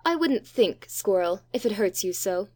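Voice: mid-range voice, big pitch swings, normal speed, darkish tone, pitched above the performer's own voice. A performer voicing a teasing, blunt younger British schoolboy in a storybook.

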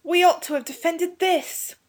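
Woman as a bold, high-voiced young boy. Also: normal speed. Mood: urgent. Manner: eager, commanding. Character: bold young boy rallying a castle defence, cheeky. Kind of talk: children's storybook reading.

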